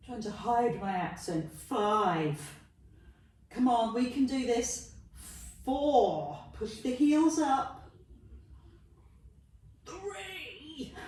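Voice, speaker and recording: A woman's voice: sits high, a little mellow. Encouraging woman counting reps, London accent. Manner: encouraging, counts reps aloud with jokey asides. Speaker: Pilates instructor. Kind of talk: guided workout instruction. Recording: echoey room, voice from a distance.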